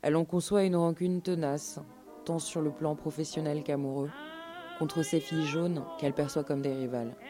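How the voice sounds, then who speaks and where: A woman's voice: deep voice, fairly even intonation, normal speed. A woman narrating a scripted documentary story as part of a live radio show. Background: music.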